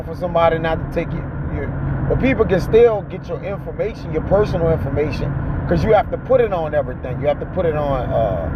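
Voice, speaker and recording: High-voiced man preaching casually over loud car noise. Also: dull audio. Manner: exhorting, colloquial street-preacher talk with "all right?" and "man" tags. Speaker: host of a ride-along talk show. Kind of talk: preachy casual commentary, reading an article aloud and riffing. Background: car and road noise.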